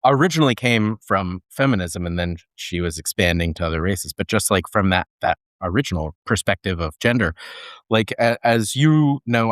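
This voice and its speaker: A man's voice: on the low side, fairly bright tone, no echo, clean studio sound. A man co-hosting a podcast, speaking reflectively and casually with many "you know" and "like" fillers. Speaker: podcast co-host. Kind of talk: casual discussion.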